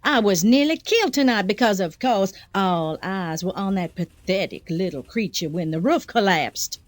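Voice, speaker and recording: An actress playing a jealous, haughty, sharp-tongued woman in an audio soap opera, sarcastic and dramatic. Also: mid-range voice, lively intonation, normal speed, natural voice. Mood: scornful. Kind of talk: soap-opera radio acting.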